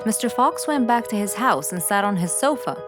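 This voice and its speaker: Woman narrator, children's cartoon storytelling, medium pitch, lively intonation, music underneath. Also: a little fast. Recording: music behind the voice.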